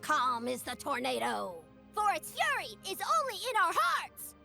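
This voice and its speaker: A woman's voice: sits high, huge pitch swings, unhurried, creaky, sharp tone, soft background music, full character voice. A woman voicing a small, ancient male yordle ninja master in a video game, spirited and wry, with clipped, teacherly, playful pronouncements. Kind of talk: video game character voice lines.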